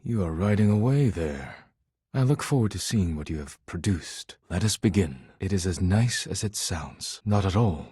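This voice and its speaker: A deep, husky, solemn poet. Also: male voice, animated, warm tone, no echo, clean studio sound. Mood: formal, encouraging. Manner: formal, measured, solemn, avoids contractions. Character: formal, earnest, proud male poetry-loving dish character. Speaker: voice actor in a dating simulator game. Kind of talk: scripted video game character dialogue.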